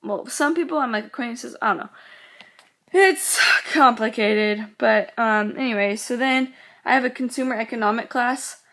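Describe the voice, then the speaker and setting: A woman's voice: a little high, normal speed, fairly bright tone. Teenage girl rambling, a bit sick. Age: teens. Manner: rambling, many "like"s, quotes others. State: sick, voice going in and out. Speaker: vlogger. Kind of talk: casual chat.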